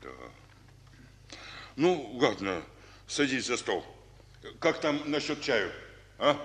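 Slow, low-voiced weary Soviet general. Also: male voice, fairly bright tone, a little hiss or hum, heavily acted. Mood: gently hospitable. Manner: slow, pensive, halting, fatherly. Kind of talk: 1940s Russian stage war drama. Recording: old reverberant radio recording with music.

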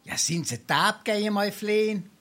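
A boozy, roguish scrounger in a dialect stage farce, with a thick Darmstadt Hessian accent. He lectures and wheedles in florid, pompous declamation, like a shabby gentleman holding court. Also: high voice, normal speed. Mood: mock romantic. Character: roguish, boozy, grandiloquent male scrounger coaching his friend. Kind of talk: theatrical dialect farce acting.

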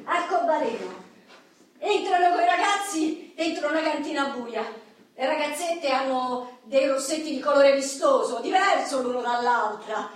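Feisty high-voiced Roman woman, echoey hall. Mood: gossipy, indignant. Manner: vivid, colloquial storytelling, acting out quoted people. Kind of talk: theatrical monologue in a radio play. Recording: echoey hall, distant mic, clicks.

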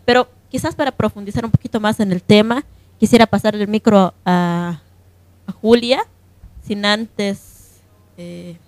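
A woman's voice: mid-range voice, fairly even intonation, measured pace, a little mellow. A woman with a Bolivian accent speaking conversationally in an unscripted radio discussion, often checking for agreement. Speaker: radio panelist.

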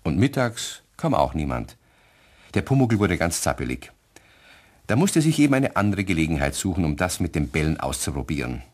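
Low-voiced guy narrating a kids radio play, really lively intonation. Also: moderate pace, breathy edge. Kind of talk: scripted children's story narration.

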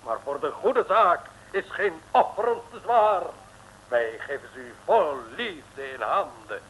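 Obsequious plotter, high voice, very animated, drawn-out emphatic delivery, hissy vintage radio comedy. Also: male voice. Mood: reverent. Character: fawning male conspirator, effusively devoted to the cause. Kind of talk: 1960s radio comedy acting. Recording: noisy old 1960s radio recording.

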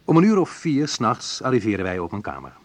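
A man's voice: fairly low pitch, huge pitch swings, quick pace, no room sound. An actor playing a wry, sensitive young man who recalls his student love life in an intimate first-person radio play monologue. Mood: tense recollection.

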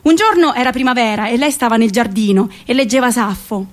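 A woman with a Roman, central Italian accent, telling a story theatrically in an expressive, dramatic manner. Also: on the high side, fairly quick. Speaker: stage actress narrating a monologue. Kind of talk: theatrical storytelling, solo radio play.

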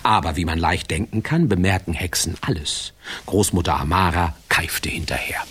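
An actor playing a wry, playful storyteller who narrates a radio fairy tale with little asides. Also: male voice, deep voice, moderate pace, a bit bright, slightly rough, full character voice. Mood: storytelling. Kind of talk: scripted radio drama narration.

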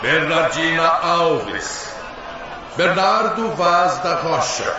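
An actor playing an authoritative male leader of a spirit rescue party, calling out names deliberately. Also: on the high side, sing-song, roomy sound, far from the mic, lo-fi sound, background noise, full character voice. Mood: solemn.

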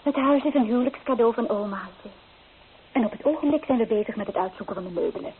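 A woman playing an excitable, proud young engaged granddaughter in a radio play, gushing and coaxing. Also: a little high, fast, lightly acted. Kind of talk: Dutch radio play acting. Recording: tinny old radio recording with hiss.

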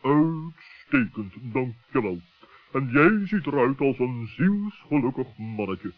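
Wary owl, pompous, deliberate wordy delivery, medium pitch, narrow muffled radio recording. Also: male voice, sing-song, faint music behind. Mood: cautious. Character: pompous, wary male owl, friend of gnome. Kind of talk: children's radio play acting.

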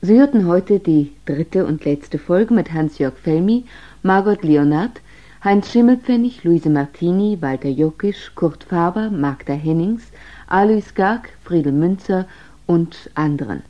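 Low-voiced woman radio announcer. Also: animated, measured pace. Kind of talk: formal scripted credits announcement. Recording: muffled 1960s radio broadcast.

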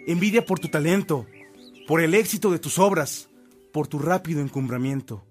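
An actor playing a loyal, supportive friend in a radio drama, speaking in an emphatic, oratorical way. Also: male voice, fairly high pitch, moderate pace, faint music behind, close to an everyday voice. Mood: earnest, indignant. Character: Enrique Guillén, loyal, supportive old friend of a priest. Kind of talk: Spanish-language radio drama acting.

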